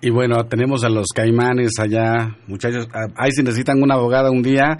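A man's voice: mid-range voice, level tone, fast. A man hosting a radio show, chatting casually and teasing his guests in a jovial way.

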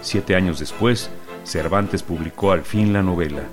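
A man narrating a short literary story in a calm, measured reading. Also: deep voice, over loud music.

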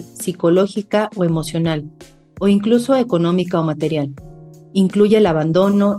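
A woman co-hosting a radio program, reading information in a steady way. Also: fairly low pitch. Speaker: radio co-host. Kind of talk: scripted radio narration. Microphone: studio microphone.

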